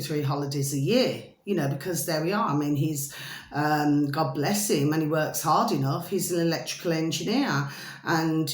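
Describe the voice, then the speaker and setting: A middle-aged woman with a notably low voice rambles on to her viewers, drifting from one tangent to the next. She fills her talk with little catchphrases and fond pet names for her audience. The sound is roomy and echoey. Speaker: vlogger. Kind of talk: rambling casual monologue to viewers. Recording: roomy echo.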